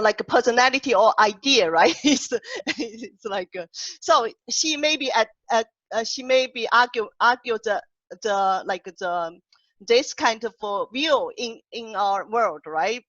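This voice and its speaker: A woman's voice: fairly high pitch, a bit bright, no room sound. Woman with a heavy accent, dull call audio. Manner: heavy non-native accent, searching for words. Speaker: translator and co-host. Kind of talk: casual online panel discussion. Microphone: video call.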